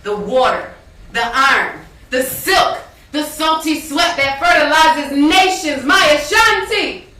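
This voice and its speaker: A woman's voice: sits high, expressive, slow, bright, forceful tone, exaggerated voice. An actress playing a proud, outspoken woman in a stage play, declaiming with emphatic spoken-word delivery. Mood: impassioned, proud. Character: proud, outspoken woman friend, poetic and affirming. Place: indoor stage, live play. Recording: echoey stage hall, distant mic, noisy.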